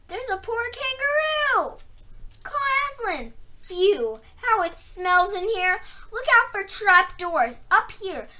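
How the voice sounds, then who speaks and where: A female reader voicing excited young rescuers urgently calling out to one another in a storybook. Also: extremely high pitch, voice pushed up, heavily acted.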